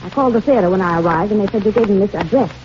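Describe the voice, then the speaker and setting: A woman's voice: medium pitch, racing, a little mellow, natural voice. An actress playing a cool, suspicious woman in a 1940s radio comedy. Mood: cool, pointed. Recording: muffled, noisy 1940s radio recording.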